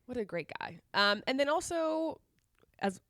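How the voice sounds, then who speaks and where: A woman's voice: high voice, sing-song, unhurried, pushed and bright, dry recording, studio quality. A woman with a cold co-hosting a podcast, slightly nasal and congested, chatting casually with lots of restarts. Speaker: podcast co-host. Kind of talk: casual chat.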